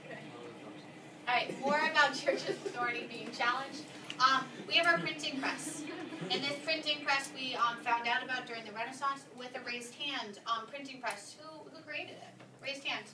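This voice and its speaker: A young woman teaching a history class, moving quickly through questions and prompting her students. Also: high voice. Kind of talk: classroom lesson with discussion. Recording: echoey classroom, distant mic, noisy.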